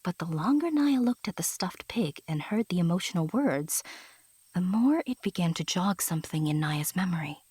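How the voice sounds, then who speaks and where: Female narrator, scripted fairy-tale storytelling, husky, very dry close recording. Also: lowish voice, expressive, moderate pace, a little mellow, no room sound.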